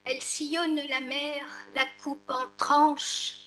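A woman in her sixties recites a poem solemnly and very slowly. Her high voice stays level, with faint noise behind it. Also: little pitch movement, fairly bright tone, rough recording. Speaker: poet. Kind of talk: poetry recitation. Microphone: table gooseneck microphone. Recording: faint room noise, hum.

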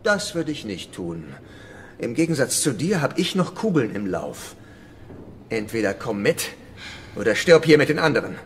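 An actor playing a ruthless, scheming island leader in a radio drama, menacing and mocking. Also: male voice, medium pitch, sing-song, moderate pace, a little hiss or hum, lightly acted. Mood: cold menace. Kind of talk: German radio drama acting.

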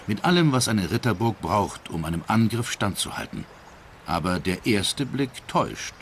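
A man's voice: fairly low pitch, very animated, moderate pace, a little hiss or hum. A man reading scripted documentary narration as the narrator. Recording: voice over background music. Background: music.

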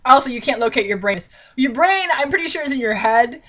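A woman's voice: sits high, animated, brisk, a bit bright. A young woman reading a book aloud and mocking it with sarcastic, exasperated, theatrical commentary, recorded on a webcam in a small bedroom. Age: young adult.

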